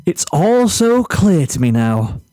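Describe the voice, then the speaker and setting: A man's voice: sits high, big pitch swings, slow, warm tone, no room sound, voice pushed up, exaggerated voice. A man voicing a cocky, oblivious teenage boy, whiny and smug, in a story reading. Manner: smug, complaining.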